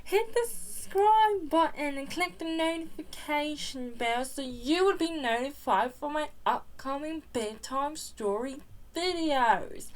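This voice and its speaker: A woman hosting a children's storytime, reading a picture book aloud in a slow, expressive, sing-song way. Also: high-pitched. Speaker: storytime host. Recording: roomy distant sound with loud music. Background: loud music.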